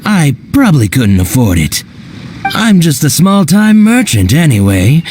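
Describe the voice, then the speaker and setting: A man voicing a playful, smooth-talking small-time merchant in a video game, teasing and theatrically polite. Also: fairly high pitch, huge pitch swings, measured pace, darkish tone, a little hiss or hum. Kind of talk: video game character acting.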